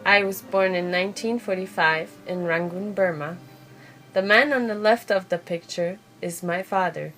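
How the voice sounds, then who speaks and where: A woman's voice: lowish voice, moderate pace, a bit bright, poor audio. Woman narrating a life story over music. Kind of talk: scripted first-person biographical narration. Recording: music behind the voice. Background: background music.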